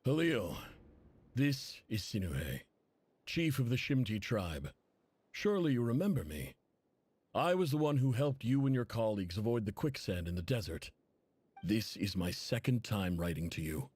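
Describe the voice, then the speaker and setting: Desert tribe chief, game character, low pitch, husky, weary, humble, earnest pleading, dry sound. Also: male voice, very animated, normal speed, darkish tone, dry recording. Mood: earnest, worried. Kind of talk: game voice acting, earnest letter reading.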